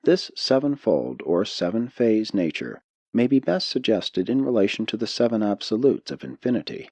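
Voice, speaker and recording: A man narrating a spiritual audiobook in a solemn, measured way. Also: on the low side, big pitch swings, darkish tone, dry recording. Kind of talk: scripted narration of a spiritual text.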